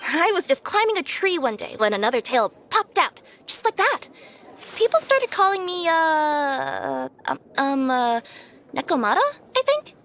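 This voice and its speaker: A woman's voice: very high voice, very animated, normal speed, a bit bright, slight background noise, heavily acted. A woman voicing a cheerful two-tailed cat spirit courier in a video game, breezy and playful. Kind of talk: video game character dialogue.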